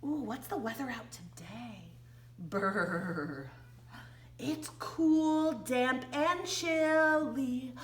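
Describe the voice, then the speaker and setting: Animated, high-voiced American woman teaching young children. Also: extremely slow. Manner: exaggerated, playful delivery with gasps and questions to small children. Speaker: children's read-along and sing-along teacher. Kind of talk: interactive storytelling and sing-song reading for young children.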